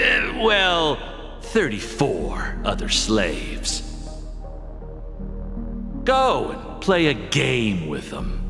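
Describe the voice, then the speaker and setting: A cruel slave master in a video game, gloating and imperious. He drags his words out very slowly, swinging up and down in pitch, in a long echoing hall. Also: full character voice. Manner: sneering, imperious, drawn-out delivery. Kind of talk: dramatic video game voice acting. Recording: long hall reverb over music.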